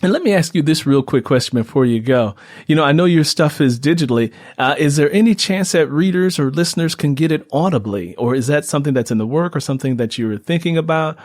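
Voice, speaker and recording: A man's voice: a little high, quick pace, hardly any pauses, a little mellow, dry recording, clean studio sound. A man hosting a radio-style interview show in a conversational way.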